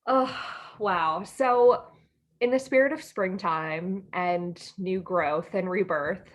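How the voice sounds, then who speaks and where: A woman co-hosting a podcast in casual chat, giggly and enthusiastic. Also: lowish voice. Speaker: podcast co-host. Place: remote online call.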